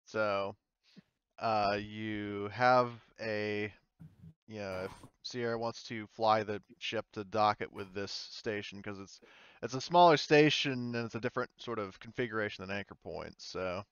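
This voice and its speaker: Guy game mastering, halting casual narration, lowish smooth voice, muffled dry mic. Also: fairly bright tone, no echo. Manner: halting, informal. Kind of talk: improvised narration of a tabletop roleplaying game.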